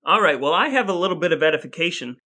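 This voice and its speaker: A man's voice: a little high, expressive, very fast, sharp tone. An American man co-hosting a podcast with wry, mock-formal, wordy phrasing, moving between scripted reading and chat. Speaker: podcast co-host.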